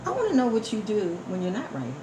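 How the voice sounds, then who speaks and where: A woman's voice: mid-range voice, wildly swooping pitch, fast. A woman hosting a radio show in casual, effusive chat, speaking familiarly to listeners and repeating phrases for emphasis. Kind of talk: casual live interview chat. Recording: echoey room with background noise.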